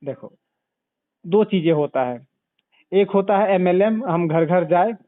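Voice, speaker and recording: A man giving a didactic sales pitch as a consultant over the phone, repeating points and checking back with questions. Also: lively intonation, slow pace, dry recording. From Bihar, India. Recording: narrow, tinny phone call recording.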